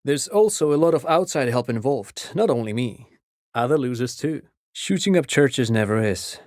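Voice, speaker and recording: A man's voice: mid-range voice, animated, normal speed, darkish tone, no echo, clean studio sound, close to an everyday voice. A man playing an eager, erudite consultant to the police in a video game, speaking in a polite, pedantic, lecturing manner. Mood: measured, polite. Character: eager, erudite male civilian consultant to police, digressive and excitable.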